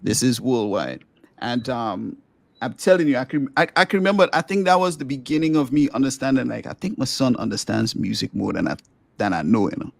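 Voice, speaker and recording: A middle-aged man from Grenada hosting a casual podcast interview, with a light, somewhat squeaky voice, talking eagerly and rambling with many restarts. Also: fairly quick, vocal fry, dry recording. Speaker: podcast host and musician.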